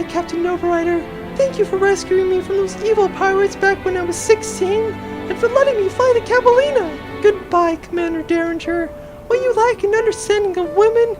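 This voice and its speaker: A man's voice: very high voice, pitched above the performer's own voice. A man voicing an earnest, sentimental young woman space pilot in an audio comedy adventure.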